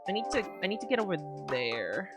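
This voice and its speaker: A woman's voice: medium pitch, very animated, fairly quick, loud music behind the voice. A woman streaming a story game, commenting casually and musing aloud with questions as she plays.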